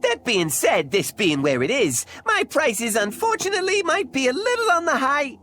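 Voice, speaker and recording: Cheery lil male critter merchant for a game, high voice, swoops all over, folksy salesman. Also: sing-song, moderate pace, fairly bright tone, slight background noise. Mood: chipper, eager. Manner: chirpy, folksy salesman patter. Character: chipper small male turtle-costumed merchant creature, polite haggler. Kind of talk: video game cutscene acting.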